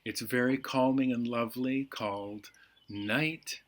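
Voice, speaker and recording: A man hosting a storytime, reading bedtime stories to children with unhurried, deliberate phrasing. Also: mid-range voice, fairly even intonation. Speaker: library storytime host.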